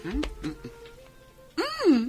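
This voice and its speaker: A woman's voice: high voice, wildly swooping pitch, very slow, darkish tone, faint music behind, heavily acted. A woman with an Ilocano accent playing a vain, brazen young woman who shrugs off her debts in a radio drama. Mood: coveting, wheedling. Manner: defiant, flippant.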